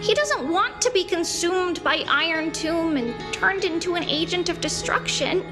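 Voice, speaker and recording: An actress voicing a bubbly, excitable young twin sister in a game, gushing and sweet, with game music underneath. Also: female voice, extremely high pitch, moderate pace.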